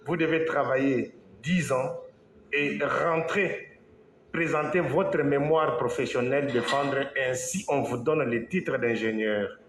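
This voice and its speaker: A man from Congo, an engineer, giving a slow, halting talk to an audience, with pauses and restarts. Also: medium pitch, fairly bright tone. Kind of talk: speech to an audience. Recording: echoey hall, faint background noise.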